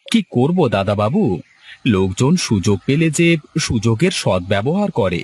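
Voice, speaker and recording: A man's voice: fairly low pitch, big pitch swings, normal speed, darkish tone. Man acting a humble village fisherman, noisy recording. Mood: confident. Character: poor village fisherman husband, humble, worried, later cocky. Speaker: cartoon character voice. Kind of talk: Bengali cartoon story dialogue.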